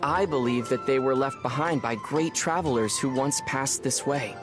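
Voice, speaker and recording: A man as a calm, wry young adventurer. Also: mid-range voice, moderate pace, music in the background, natural voice. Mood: reflective. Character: young male traveler hero, calm, dry-witted, gently teasing. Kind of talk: video game character acting.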